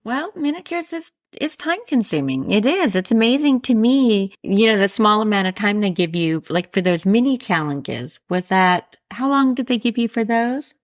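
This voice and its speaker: A woman hosts a relaxed, conversational interview, asking questions and adding short comments. Her voice sits at a medium pitch, and the recording is very dry, with no room sound. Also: moderate pace, few pauses, no echo. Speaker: podcast host, interviewer. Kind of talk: casual interview chat.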